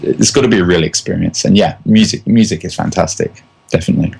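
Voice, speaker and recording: A man's voice: low voice, fast talker, gravelly, a little mellow, husky. An Englishman in casual conversation, enthusiastic and often restarting his phrases. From England.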